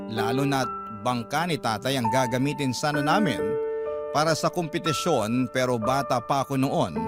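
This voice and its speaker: A man narrating a listener's confessional story in a reflective, scripted radio drama style. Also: mid-range voice. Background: loud music.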